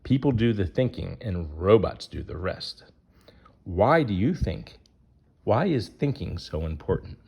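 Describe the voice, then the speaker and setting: Guy reading a rhyming kids picture book out loud, lowish voice, really up and down intonation. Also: very animated, unhurried, vocal fry, breathy edge, highs cut off. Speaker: narrator reading a children's picture book aloud.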